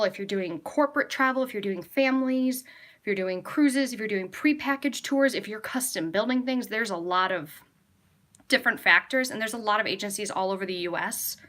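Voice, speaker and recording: A woman's voice: medium pitch, fairly bright tone. A young adult American woman chatting casually and enthusiastically to viewers as a travel vlogger, in a rambling way. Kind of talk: casual live Q&A chat to viewers.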